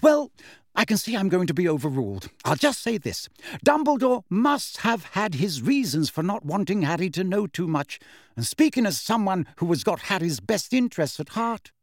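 Man acting storybook middle-aged mother, high pitched, animated, protective, fierce, scolding. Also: normal speed, no echo, clean studio sound, exaggerated voice. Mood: fierce, bitter. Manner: indignant, scolding.

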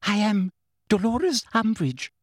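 A man voicing a pompous, frightened and indignant woman official in a storybook, high-pitched and officious. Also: very animated, moderate pace, creaky, no room sound, clean studio sound, exaggerated voice. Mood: terrified, officious. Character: pompous, terrified, indignant female government official. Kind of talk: audiobook character voice.

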